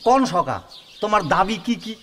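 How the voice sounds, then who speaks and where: A man's voice: high voice, animated, brisk, pushed and bright, a little hiss or hum. A man speaking with a Kamtapuri accent, playing a greedy, scheming middle-aged village man haggling over a dowry in a rural radio play. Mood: bargaining. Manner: scheming, wheedling, bargaining. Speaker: Sanatan, a scheming matchmaker's ally.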